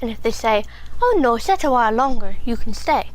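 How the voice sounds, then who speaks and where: A woman's voice: on the high side, expressive, brisk, natural voice, poor audio. An actress playing a bossy, fussing working-class mother in a family audio drama, with folksy, ungrammatical, scolding speech. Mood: fussing, coaching.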